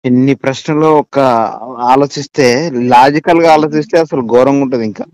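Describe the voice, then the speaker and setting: A man's voice: mid-range voice, normal speed, a little mellow, no echo. A man chatting casually and agreeably on a slightly dull, dry recorded phone call. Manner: agreeable, affirming. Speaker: listener in a phone discussion. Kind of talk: casual chat. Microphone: telephone.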